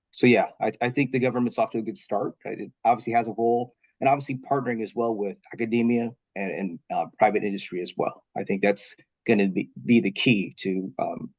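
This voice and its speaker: A man's voice: lowish voice, a little mellow, husky, dry recording. Slightly nasal man on a video call. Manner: measured, hedging with frequent fillers and restarts. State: under the weather. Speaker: state technology official on a panel. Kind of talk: webinar panel answer.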